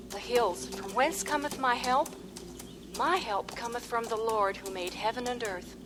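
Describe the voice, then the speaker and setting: Actress as praying missionary woman, slightly high. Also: background noise, lightly acted. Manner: reverent, solemn. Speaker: actor in an audio drama. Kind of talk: audio drama monologue, prayer.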